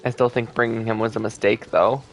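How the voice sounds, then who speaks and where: A man's voice: lowish voice, fairly even intonation, fairly quick, low-quality recording. A man giving casual playthrough commentary as a game streamer, reacting in everyday conversational speech. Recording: faint game music behind.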